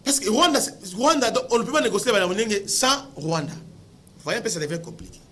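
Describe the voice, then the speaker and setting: High, bright-voiced Congolese man, emphatic. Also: expressive, quick pace, breathy edge. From Democratic Republic of the Congo. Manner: emphatic, asking rhetorical questions. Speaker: political commentator. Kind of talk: impassioned spoken political commentary to viewers.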